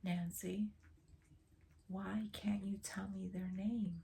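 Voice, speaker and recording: A woman speaking in a hushed whisper to her viewers, gently and patiently pleading as she tries to reach a spirit from her home. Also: on the low side, level tone, slow pace. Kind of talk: spirit communication vlog.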